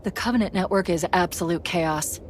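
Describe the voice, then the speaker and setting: A woman voicing a quick-witted, capable female AI who gives brisk, technical briefings in a video game. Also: on the low side, very noisy, close to an everyday voice. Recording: voice over loud game score.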